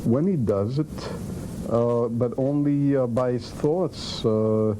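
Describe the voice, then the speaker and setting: Man, medium pitch, very hesitant, halting interview talk, noisy 1990s documentary location sound. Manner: hesitant, many long ums.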